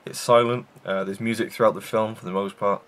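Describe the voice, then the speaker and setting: A man's voice: fairly low pitch, steady tone, fairly quick, fairly bright tone, slight background noise. A man hosting a casual film review show, rambling with enthusiasm and many fillers and restarts.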